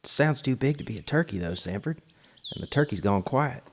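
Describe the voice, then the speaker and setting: Observant turkey hunter, cautious and laconic, middle register, radio drama. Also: male voice, medium pitch, expressive, brisk. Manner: hushed, laconic, folksy. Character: cautious, observant country hunter tracking strangers.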